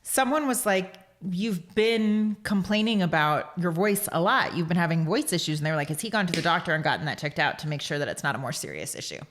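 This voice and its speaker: A woman's voice: fairly low pitch, animated, brisk, few pauses, a bit bright. Female co-host, casual home podcast, playful teasing banter. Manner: teasing, chatty, laughs easily. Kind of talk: casual chat.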